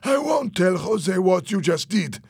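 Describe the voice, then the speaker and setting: A man voices a menacing henchman in a storybook thriller. He barks orders and mocking threats at a raised pitch. The sound is close and very dry. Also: unhurried, gravelly, no echo. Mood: threatening. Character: cruel, menacing henchman with a rifle, obedient to boss.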